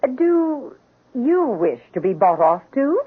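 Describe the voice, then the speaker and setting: An actress in a 1970s radio drama playing a cruel, imperious rich wife with a scornful manner. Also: female voice, high-pitched, sing-song, slow pace, husky, heavily acted. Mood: haughty, defensive. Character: cruel, haughty rich wife plotting murder. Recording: muffled, thin vintage radio recording.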